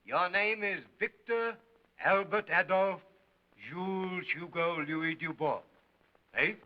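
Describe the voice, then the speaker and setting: An actor playing a sarcastic middle-aged police prefect questioning witnesses curtly on a muffled 1930s film soundtrack. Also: male voice, sits high, slow. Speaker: interrogator.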